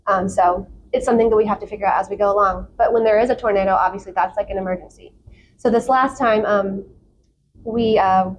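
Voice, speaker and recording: A woman's voice: medium pitch, steady tone, fairly quick, slight background noise, low-quality recording. A young American woman from South Florida, chatting casually and animatedly as a podcast guest. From South Florida, United States. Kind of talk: casual conversational interview answers.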